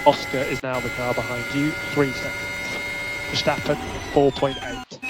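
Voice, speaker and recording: A man's voice: mid-range voice, huge pitch swings, moderate pace. Male race engineer on very noisy team radio. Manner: clipped, repeats codes and instructions. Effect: team radio transmission. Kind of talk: terse radio instructions and updates. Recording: loud engine noise, team radio link.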